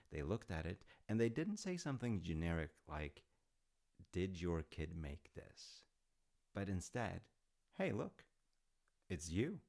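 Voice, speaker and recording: A man's voice: low voice, gravelly, a little mellow, full character voice. A man playing an affectionate, proud dad in an audio roleplay, rambling through stories in a musing, conversational way with hesitant asides. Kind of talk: intimate rambling storytelling roleplay.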